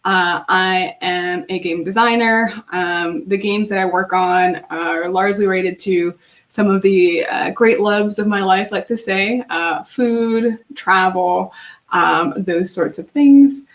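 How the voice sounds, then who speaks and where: Woman from New Jersey as panel moderator, relaxed and filler-heavy, on a video call. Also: medium pitch, slow pace. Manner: loose, filler-heavy, frequent ums. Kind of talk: casual panel chat.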